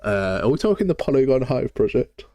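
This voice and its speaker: A man with a very deep voice and an English Midlands accent, chatting casually and bluntly as he thinks aloud through a live game development tutorial. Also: very animated, a little fast, dark tone, no echo, studio quality.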